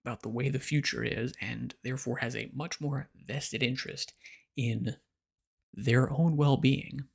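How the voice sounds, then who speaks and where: Brief: American male pundit, sarcastic and lecturing, rough fry-heavy voice. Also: medium pitch, fairly even intonation, measured pace. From United States. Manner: blunt and sarcastic, lecturing the listener. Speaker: political commentator. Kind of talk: opinionated monologue.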